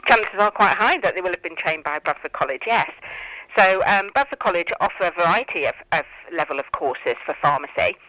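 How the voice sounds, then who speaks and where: A woman's voice: on the low side, animated, a little fast, bright, forceful tone, low-quality recording. A middle-aged woman with a British accent explaining things as a guest in a radio interview. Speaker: interview guest, college tutor.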